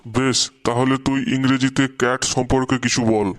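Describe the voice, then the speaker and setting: A man's voice: medium pitch, little pitch movement, normal speed, no room sound, lightly acted. A man voicing a strict, exasperated middle-aged schoolteacher in a Bengali comedy cartoon, scolding with a Bengali accent. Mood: stern. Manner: scolding, threatening.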